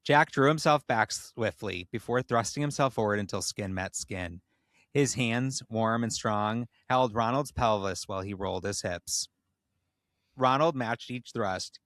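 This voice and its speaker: A man reading comedic erotic fiction aloud with expressive, story-like narration, as a podcast host. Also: fairly low pitch, gravelly, no room sound, clean studio sound.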